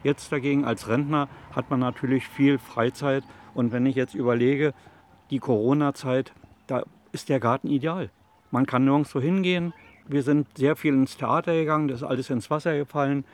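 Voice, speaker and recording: A retired man in his seventies answers interview questions, telling stories in a calm, plain, rambling way. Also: medium pitch, normal speed. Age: 70s. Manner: calm, plain, rambling storyteller with fillers like "also" and "eben". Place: outdoors in a city allotment garden.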